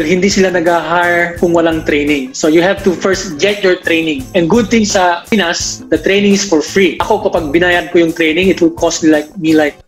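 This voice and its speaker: A man's voice: high-pitched, low-quality recording. A Filipino man in his thirties giving a casual, explanatory interview over a video call from a living room. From Philippines. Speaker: interview guest, air traffic control assistant. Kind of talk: casual explanatory interview in mixed Tagalog and English. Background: background music.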